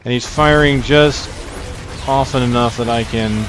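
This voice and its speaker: A man's voice: mid-range voice, fairly even intonation, measured pace, background noise. A man giving casual gameplay commentary, thinking aloud as he plays. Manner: thinks aloud while playing. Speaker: gameplay video host. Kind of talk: casual video game commentary. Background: game music behind the voice.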